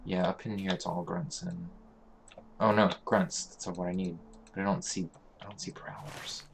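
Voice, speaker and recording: A man hosting a let's play, talking casually through a game with a hoarse, congested voice and many fillers. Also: sits low, background noise. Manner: relaxed, halting, thinking aloud with many fillers. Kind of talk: casual gameplay commentary.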